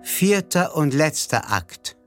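An actor playing a formal, deliberate announcer of absurd mini dramas in a radio play. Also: male voice, on the high side, sing-song, faint music behind. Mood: solemn.

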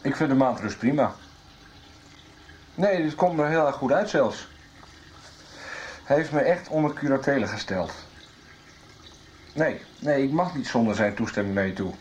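Medium-pitched man, blunt, offhand, impatient lover, radio soap acted by a man. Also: animated, moderate pace, long pauses, darkish tone, slight background noise, natural voice. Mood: irritated, secretive. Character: blunt, impatient, casual male lover with secrets. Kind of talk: Dutch radio soap acting.

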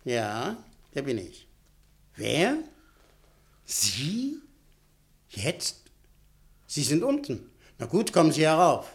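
A cool, scheming press magnate. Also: male voice, a little high, huge pitch swings, takes it slowly, pauses often, exaggerated voice. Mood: calm. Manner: smooth, calculating, coolly polite. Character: scheming middle-aged male press magnate. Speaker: businessman Buscan. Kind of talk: 1950s German radio play acting.

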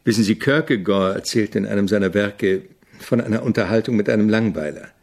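An actor playing an opinionated, irritable avant-garde composer in a German radio drama, speaking in a didactic, deliberate way with a gravelly, husky voice. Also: male voice, on the low side, a little mellow. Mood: reflective, didactic.

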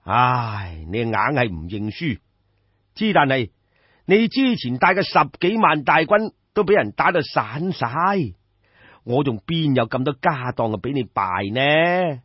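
A man with a Cantonese accent voicing a weary, authoritative old king of ancient China, slow and pondering, in an audio story. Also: medium pitch, very animated, breathy edge, no echo, muffled recording. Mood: weary doubt.

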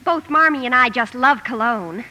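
An actress playing a vain, whiny youngest sister in a period drama, with a prim, affected and plaintive manner. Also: female voice, on the high side, expressive, normal speed, pushed and bright, poor audio. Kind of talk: period radio drama acting.

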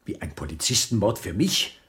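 A man's voice: fairly low pitch, sing-song, moderate pace, fairly bright tone. An actor in a German radio drama playing an exasperated, rattled man who is blunt, scornful and disbelieving.

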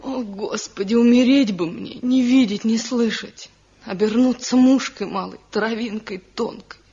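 Husky young village wife, plaintive n pleading, old radio play, dull muffled sound. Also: female voice, fairly high pitch, unhurried, warm tone. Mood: pleading, despairing. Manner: plaintive, lamenting. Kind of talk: 1970 radio drama acting.

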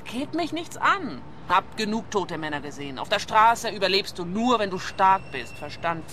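An actress playing a gruff, unsentimental woman of the streets in a radio play. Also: mid-range voice, very animated, normal speed, fairly bright tone, faint music behind. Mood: hardened. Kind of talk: German-language radio play acting.

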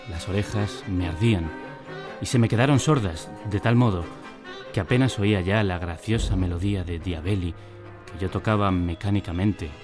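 A man's voice: sits low, close to an everyday voice. An actor playing a man who recounts his boyhood in wry, literary radio drama narration. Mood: anguished. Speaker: first-person narrator.

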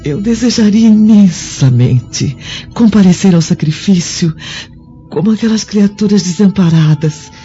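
A woman playing a gentle, devout Roman noblewoman in prison, who speaks slowly and solemnly in a radio drama. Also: fairly low pitch. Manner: slow, solemn and tender. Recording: dull lo-fi recording with music. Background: soft music score.

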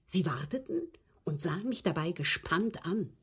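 An actress in a German radio drama playing a well-bred, abused woman confessing a poisoning to the police, phrasing deliberately and emphatically in a husky, breathy voice. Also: fairly low pitch, expressive, full character voice. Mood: bitter, precise.

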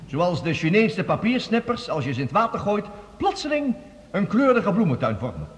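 An actor playing a confident, visionary scientist, giving a formal, eloquent declamatory address in a radio drama. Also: male voice, sits high, very animated, normal speed, a little hiss or hum. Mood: rousing, fervent. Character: visionary, confident male scientist presenting a bold Mars plan. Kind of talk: Dutch radio drama acting, formal speech. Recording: long reverberant hall.

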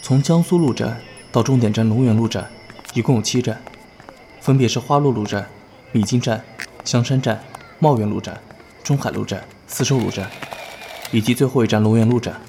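A man playing a composed, attentive young player in a horror audio drama, calm, measured and serious. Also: mid-range voice, a little hiss or hum, natural voice. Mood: serious, informative.

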